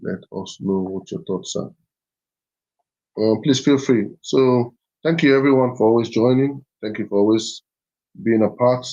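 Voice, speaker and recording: A man's voice: medium pitch, a little slow, dark tone, muffled recording. A man hosting a live stream as a business mentor, talking in a repetitive, urging way with many fillers. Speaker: livestream host and business mentor.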